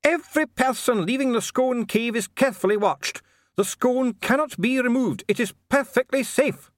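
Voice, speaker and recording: A man's voice: high voice, very animated, normal speed, no room sound, studio quality, pitched above the performer's own voice. A man voicing an officious, evasive dwarf official in formal, clipped, protesting speech for an audiobook. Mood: shouting, agitated.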